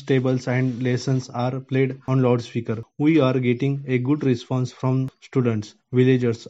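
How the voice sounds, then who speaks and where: Mellow Indian-accented man, dull recording. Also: medium pitch. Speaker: school teacher. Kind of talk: prepared first-person account.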